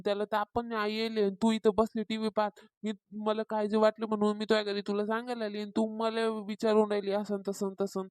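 A woman's voice: mid-range voice, monotone, fairly quick, hardly any pauses, darkish tone, clear, resonant tone, no echo, clean studio sound, natural voice. A woman voicing a meddling, insistent older village gossip in a cartoon comedy, with a rural Maharashtrian accent. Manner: insistent, tattling. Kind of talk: Marathi cartoon comedy dialogue.

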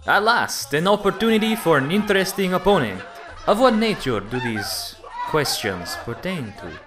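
A man playing a smooth, sociable gentleman gambler with a courteous, theatrical manner in an amateur radio play. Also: high voice, sing-song, moderate pace. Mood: intrigued. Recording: music and crowd chatter behind.

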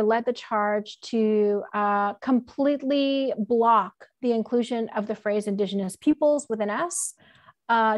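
A woman's voice: fairly high pitch, no echo. A woman giving a conversational academic talk over a video call, in an informal and frank way. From Alberta, Canada. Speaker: keynote speaker, law professor. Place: indoor room at home, on a video call. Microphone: computer mic on a video call.

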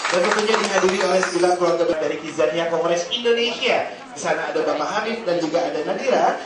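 Man, high voice, upbeat joking emcee, very echoey theater hall, heard from afar. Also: fast talker, very noisy. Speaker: event emcee. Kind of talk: ceremony hosting. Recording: echoey hall, distant mic, music. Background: music playing.

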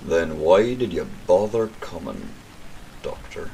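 A man acts out a theatrical villain for an audio drama, a gloating male mastermind with a gravelly voice. He takes his time and swoops widely in pitch, full of mockery and condescension. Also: sing-song, takes it slowly, mellow, slight background noise, heavily acted. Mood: smug.

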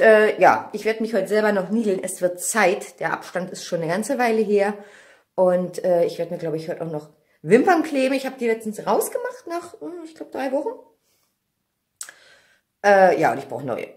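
A woman in her thirties from Germany chats casually to her vlog, rambling and thinking aloud, recorded on a phone at arm's length indoors at home. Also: mid-range voice. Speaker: vlogger. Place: indoors at home, kitchen, hallway and bathroom.

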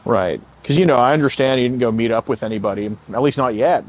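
Loud, blunt young man outside. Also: mid-range voice, fast talker, low-quality recording. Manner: loud, blunt, hesitant fillers. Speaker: confrontation host. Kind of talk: confrontational questioning. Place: outdoors in a driveway.

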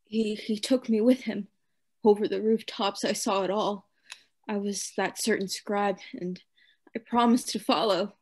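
A woman playing a tormented madman in a radio play, raving and pleading in halting, repetitive phrases. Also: medium pitch, steady tone, natural voice. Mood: confessing. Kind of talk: theatrical radio play acting.

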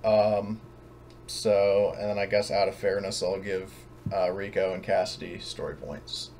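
A man with a fairly low voice acts as game master over a voice chat with some background noise. He explains and narrates casually, with a hesitant, halting delivery. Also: level tone, vocal fry, a little mellow. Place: online voice call, room with background noise.

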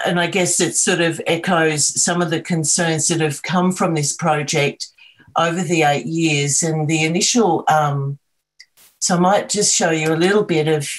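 A middle-aged Australian woman, a video artist, talking about her work on a video call, with many pauses, ums and restarts. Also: sits low, low-quality recording. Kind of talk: artist talk on a video call, sharing screen.

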